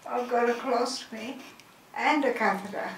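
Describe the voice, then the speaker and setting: A woman in her sixties, speaking slowly and haltingly in a weak, quiet voice into a handheld mic in an auditorium. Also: fairly high pitch, far from the mic. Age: 60s. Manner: slow, halting, effortful speech. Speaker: audience member. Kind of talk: personal statement at a forum. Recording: echoey hall, faint noise.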